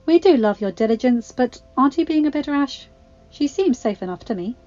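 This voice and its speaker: A woman voicing a calm, gracious queen who chides gently in a storybook. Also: high-pitched, fairly quick, muffled recording, faint music behind, pitched above the performer's own voice. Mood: calm, teasing.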